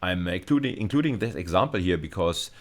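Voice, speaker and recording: A man's voice: low voice, expressive, a little fast. A man lecturing at university level in an informal screencast style, explaining hesitantly and often repeating and rephrasing himself.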